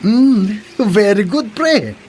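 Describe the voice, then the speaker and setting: An actor playing a chummy, supportive friend in a radio drama, casual and encouraging, with a Filipino accent. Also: male voice, high voice, lively intonation, slow pace, a little mellow, a little hiss or hum. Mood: cheerful, encouraging. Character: chummy, supportive male friend of young husband.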